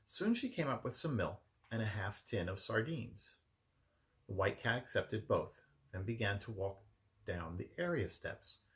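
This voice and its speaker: A man with a gravelly voice reading a children's story expressively, narrating from a written text in his room at home. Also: fairly low pitch, expressive, unhurried, fairly bright tone. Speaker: amateur storybook reader.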